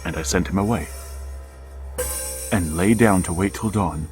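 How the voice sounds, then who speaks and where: A low, unhurried voice tells the tale as a haunted, resigned old father, played by a man. Each line comes out slow and halting, with clear music playing under him, as in a vintage-style radio horror play. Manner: slow, halting, hushed storytelling. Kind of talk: radio drama narration.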